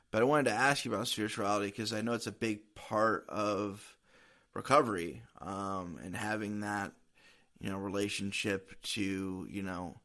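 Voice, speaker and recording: Guy w a lowish voice doing a podcast interview, rambly and informal, kinda thinking out loud. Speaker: podcast host. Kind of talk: casual interview chat.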